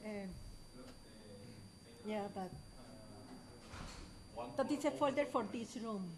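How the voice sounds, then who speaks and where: A woman's voice: on the high side, takes it slowly, crowd noise. A middle-aged woman, speaking hesitantly at a conference panel table. She searches for her words and often restarts her sentences. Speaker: conference presenter. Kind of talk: setting up slides, unscripted. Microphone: table microphone.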